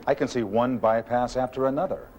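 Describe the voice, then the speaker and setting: A man's voice: medium pitch, steady tone, a little fast, a little mellow, lightly acted. A man acting a worried, skeptical middle-aged heart patient in a medical dramatization. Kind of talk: acted scene in a medical dramatization. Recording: noisy room ambience.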